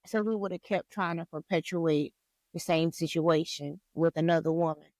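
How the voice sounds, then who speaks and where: A woman's voice: sits low, moderate pace, a little mellow, no room sound. A woman hosting a casual podcast who keeps the talk moving with questions and short reactions. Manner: prompting, chimes in with short reactions. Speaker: podcast host.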